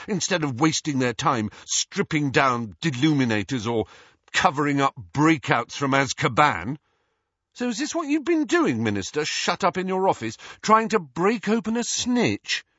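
A man plays a hot-tempered teenage boy in a storybook, challenging and sarcastic. The voice is husky and leaps around in pitch. The sound is a little muffled. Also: dry recording. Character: defiant, hot-tempered seventeen-year-old boy.

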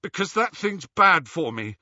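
A man's voice: sits high, sing-song, moderate pace, bright, forceful tone, no room sound, dull audio, pitched above the performer's own voice, full character voice. A man voicing a scared, pleading teenage boy in a storybook, anxious and protesting. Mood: frightened, pleading.

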